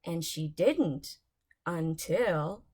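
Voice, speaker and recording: A woman's voice: deep voice, lively intonation, very slow, darkish tone, husky. A woman reading bedtime storybooks aloud to young children, expressively, with dramatic emphasis on key words.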